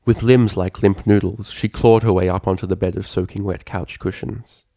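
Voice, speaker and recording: A man reading a story aloud as narrator, with a dramatic and expressive delivery. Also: deep voice, moderate pace, creaky, no room sound. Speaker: fan fiction narrator.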